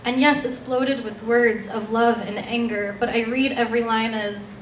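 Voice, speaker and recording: A woman's voice: on the high side, steady tone, normal speed. A young woman performing a spoken-word poem live as a slam poet. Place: stage in an indoor event room. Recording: echoey room, distant mic, faint noise.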